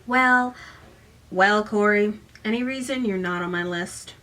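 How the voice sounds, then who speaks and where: A woman's voice: medium pitch, unhurried, pushed and bright. A woman voicing a skeptical male doorman in a story, terse and condescending, heard a little away from the mic. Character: gruff, skeptical male party doorman with guest list.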